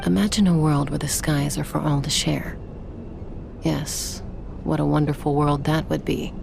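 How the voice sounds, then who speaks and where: A woman's voice: low voice, fairly even intonation, normal speed, gravelly, background noise. A woman playing a tough, decisive young peacekeeper in a video game, speaking bluntly and resolutely. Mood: wistful. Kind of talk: video game character voice acting.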